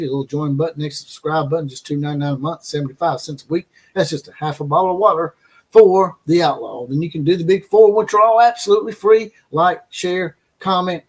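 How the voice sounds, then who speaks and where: A man hosting a college football commentary channel, ranting with crude, mocking opinions and frequent fillers and restarts. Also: fairly high pitch.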